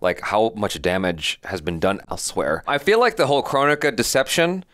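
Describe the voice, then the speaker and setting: A man's voice: lowish voice, expressive, brisk, a bit bright, no echo, studio quality. A man in his thirties chatting casually and analytically as co-host of a reaction show, on a headset mic. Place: indoor studio room. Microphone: headset boom mic.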